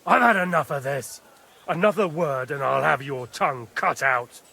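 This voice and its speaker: An actor playing a proud, blustering medieval camp commander, haughty, defensive and emphatic, in a dramatic video game. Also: male voice, on the high side, moderate pace, vocal fry, sharp tone, full character voice. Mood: outraged.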